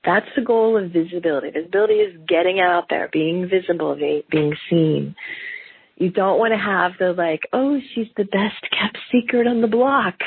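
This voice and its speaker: A middle-aged woman with a very husky, gravelly voice from a slight cold hosts a business podcast solo. She talks in an animated way, popping into lively voices when she quotes people. The recording is dry and narrow, like a phone line. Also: medium pitch, no room sound. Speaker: podcast host.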